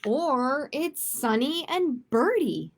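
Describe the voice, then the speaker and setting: A woman's voice: sits high, lively intonation, slow. A woman hosting a children's storytime, reading picture books aloud expressively and adding simple chatty asides with little check-in questions. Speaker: library storytime host.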